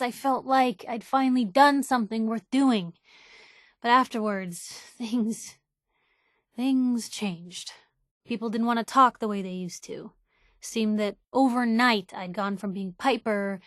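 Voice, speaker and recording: Casting: outspoken young female reporter, game companion; loyal, nosy, wry, conversational, fairly high voice, close dry recording. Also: no room sound. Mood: heartfelt, wistful. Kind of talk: video game companion dialogue.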